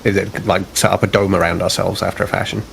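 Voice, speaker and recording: A man playing a tabletop game, asking quick questions casually. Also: low voice, little pitch movement, vocal fry, fairly bright tone, a little hiss or hum. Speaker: player.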